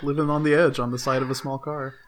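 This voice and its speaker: A man's voice: medium pitch, level tone, fast, over a noisy crowd. A man co-hosting a comedic chat, reading posts in a dry, wordy way and chuckling.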